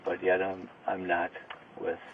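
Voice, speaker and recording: A man under arrest talking casually with police in a halting way, often repeating his phrases. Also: low-pitched, completely flat delivery. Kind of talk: casual conversation during an arrest. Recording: noisy, tinny police body-camera or vehicle audio.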